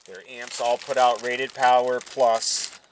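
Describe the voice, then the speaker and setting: A man's voice: mid-range voice, flat delivery, slow, poor audio. A man hosting a casual car audio unboxing, talking informally and thinking aloud, with some noise behind him. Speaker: host of a car audio channel.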